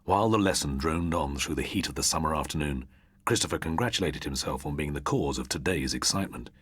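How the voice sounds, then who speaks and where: A low-voiced British man reads a ghost story as an audiobook narrator. His delivery is dramatic and swings widely in pitch, with a husky grain. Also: expressive, fast talker, a bit bright, no echo.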